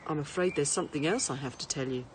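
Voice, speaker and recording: A firm father, played by a woman reading aloud, lectures his child briskly and formally. He is stern but reasoning, with some noise behind the voice. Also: deep voice, natural voice, rough recording. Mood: grave.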